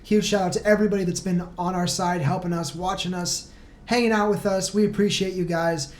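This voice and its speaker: A woman's voice: fairly low pitch, fairly even intonation, brisk, a little mellow. A woman in a band chatting casually and enthusiastically as a podcast guest. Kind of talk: casual chat. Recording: echoey room, faint background noise.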